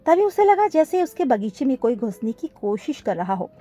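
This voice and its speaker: A woman's voice: high voice, sing-song, moderate pace, dark tone, soft background music. A woman reading scripted narration for a children's cartoon story.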